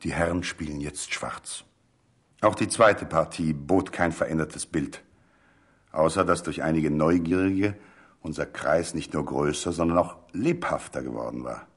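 A man narrating a literary story from a script in a measured, expressive storytelling manner. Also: very deep voice.

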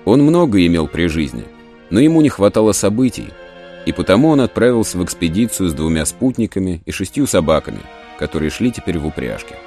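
A man narrating a literary audio play in a measured, solemn storytelling manner. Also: deep voice, sing-song. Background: soft music.

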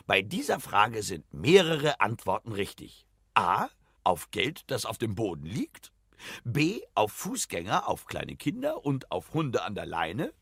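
An eccentric quiz doctor for a children's radio play. The voice is raspy and forcefully bright, swinging wildly in pitch. He takes his time, pompous and theatrical. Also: dry recording, clean studio sound, full character voice. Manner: pompous, theatrical, chuckling at own jokes. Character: eccentric, excitable quiz expert Doctor Speiche, boastful and grumbling. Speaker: quiz expert.

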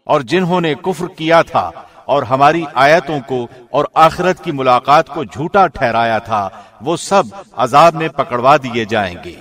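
A man, a professional radio host, giving a calm, formal studio reading of a scripture translation. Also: medium pitch. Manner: calm, even, formal delivery.

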